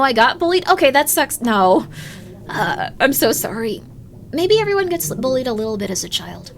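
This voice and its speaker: A young woman streaming live, chatting casually with viewers and reading their comments, rambling with many filler words. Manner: rambling, lots of "like" fillers, laughs often, swings up and down in pitch.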